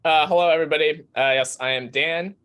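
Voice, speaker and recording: A man's voice: on the high side, fairly even intonation, brisk, a bit bright, smooth. A man introducing himself as a panelist on a video call, earnest and hesitant. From suburbs of Philadelphia, US. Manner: earnest, starts lines with "uh". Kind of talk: self-introduction.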